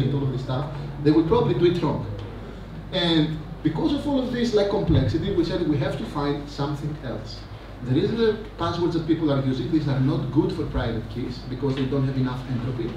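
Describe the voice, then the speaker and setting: Man, conference speaker, rambling with fillers, booming echo, distant mic, noisy background. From Greece. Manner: energetic, rambling with many fillers and asides. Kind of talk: conference talk. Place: large conference hall.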